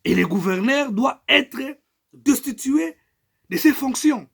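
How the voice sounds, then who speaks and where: A middle-aged man from Congo with a Congolese French accent, making an emphatic political statement, his loudness rising and falling. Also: extremely high pitch, expressive, takes it slowly, vocal fry, husky. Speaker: political activist.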